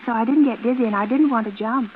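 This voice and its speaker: On a narrow, hissy old radio recording, an actress plays a woman near forty who sounds like a timid schoolgirl. Her voice is very high, wistful and apologetic, and rambles on in 1940s suspense style. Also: quick pace, close to an everyday voice. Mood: anxious, confiding. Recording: muffled, noisy vintage radio recording.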